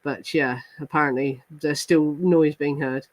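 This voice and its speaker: A man with a somewhat high voice hosts a casual true crime talk, thinking aloud with frequent fillers, restarts and trailing questions. The sound is dry and close, with no room around it. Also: steady tone, measured pace, dry recording, low-quality recording. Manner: reads police report passages aloud. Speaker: true crime podcast host. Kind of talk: casual chat reacting to live comments.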